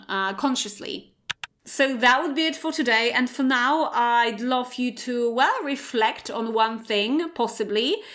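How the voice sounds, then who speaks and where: A woman's voice: a little high, fairly bright tone. A woman from Poland with a Polish accent, an English teacher talking through a solo podcast in a chatty way, often checking in with "right?" and "okay?". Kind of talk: solo educational podcast monologue.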